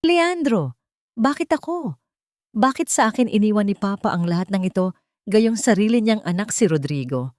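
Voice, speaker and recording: A woman's voice: medium pitch, expressive, moderate pace, darkish tone, no echo, voice pushed up, lightly acted. An anguished mother, as a woman narrator plays her in an audio drama. She is desperate and bewildered, pleading with raw emotion in a dry, close voice. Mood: confused, bitter.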